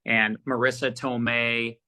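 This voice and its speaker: A man with a bright, forceful voice at an ordinary male pitch, talking as a guest in a casual interview. He tells rambling, animated stories, restarting sentences and quoting conversations as he goes. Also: a little slow. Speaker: interview guest, audio drama producer.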